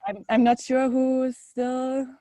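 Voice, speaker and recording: Hesitant woman with a mellow voice. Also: sits high, little pitch movement, measured pace, no room sound. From Denmark. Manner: hesitant, many uh fillers. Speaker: freelance journalist. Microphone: video call.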